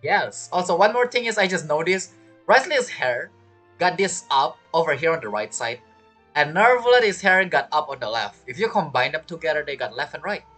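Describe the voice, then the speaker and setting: A woman's voice: low-pitched, big pitch swings, brisk, a bit bright, faint music behind. A woman giving casual, teasing commentary as she reacts to a game. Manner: joking, teasing. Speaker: game streamer.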